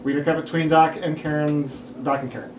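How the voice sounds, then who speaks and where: Higher-voiced man reading stage directions, echoey room. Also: fairly even intonation, moderate pace. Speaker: table read narrator reading stage directions. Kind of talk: scripted narration at a table read. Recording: roomy, distant mic, clear background noise.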